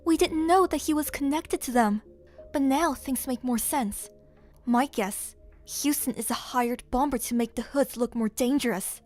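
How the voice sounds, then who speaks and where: A woman's voice: high voice, a little slow, darkish tone, faint music behind. A woman playing a headstrong, earnest young vigilante in an audio crime drama. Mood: matter-of-fact.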